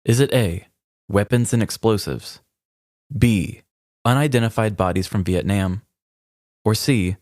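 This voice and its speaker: A man's voice: lowish voice, unhurried, long pauses, a little mellow, no echo. Casual male podcast co-host, dry close sound. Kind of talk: casual chat.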